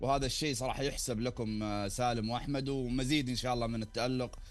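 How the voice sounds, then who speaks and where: A man's voice: medium pitch, fairly even intonation, rapid-fire, soft background music. A man, a sports journalist guest, talking courteously and effusively in a live radio interview.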